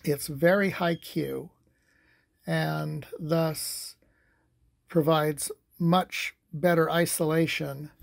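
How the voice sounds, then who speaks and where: A man giving an informal, matter-of-fact technical demonstration as a technician, speaking somewhat haltingly. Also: on the high side, vocal fry.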